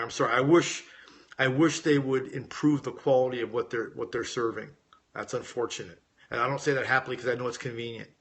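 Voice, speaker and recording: A man's voice: mid-range voice, a bit bright. A middle-aged American man in a casual live-stream chat, reading out viewer questions and answering them informally as a coach. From United States, grew up in Las Vegas. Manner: informal, conversational, addresses viewers directly. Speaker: weight-loss coach hosting a live Q&A.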